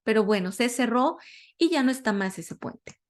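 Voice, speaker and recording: A Latin American woman teaching a metaphysics class in a conversational way, often pausing to search for words and correct herself. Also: a little high, lively intonation. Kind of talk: informal spiritual class talk.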